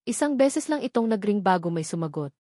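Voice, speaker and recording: A woman narrating a scripted romance story in a steady, measured way. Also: medium pitch, no room sound, clean studio sound.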